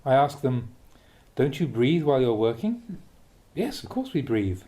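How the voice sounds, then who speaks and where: A man with a soft, mellow voice reads a Buddhist teaching aloud. His delivery is measured, with a lively rise and fall and clear emphasis on key words. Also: mid-range voice. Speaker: Buddhist monk reading a translated teaching aloud. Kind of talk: read-aloud Dhamma talk.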